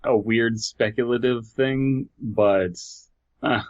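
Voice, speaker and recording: A man's voice: mid-range voice, steady tone, slow pace, dull audio. A man co-hosting a podcast, casually reading an online horror story aloud and commenting on it. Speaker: podcast co-host.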